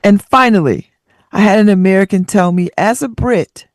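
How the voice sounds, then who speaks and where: A woman's voice: medium pitch, moderate pace, dry recording, clean studio sound. A woman hosting a radio talk show, reading out listener stories and riffing on them with frequent laughter and sassy asides.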